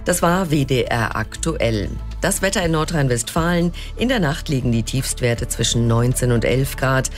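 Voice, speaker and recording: A man's voice: mid-range voice, animated, normal speed, hardly any pauses, soft background music. A man with a standard German accent reading scripted news as a radio presenter.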